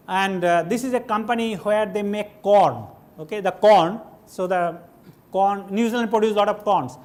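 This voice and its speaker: High-voiced Bengali-accented man lecturing. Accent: Indian English, Bengali. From India (West Bengal). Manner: conversational, digressive, asks the audience questions. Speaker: guest lecturer. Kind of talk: classroom lecture. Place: large lecture hall with stage.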